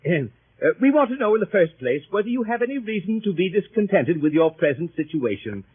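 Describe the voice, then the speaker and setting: Earnest club gentleman, hesitant, husky, 1930s radio acting, muffled scratchy broadcast. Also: high-pitched, big pitch swings, fairly quick, full character voice. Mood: businesslike. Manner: formal, hesitant, stammering indignation. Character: fussy, earnest, easily flustered English gentleman club leader.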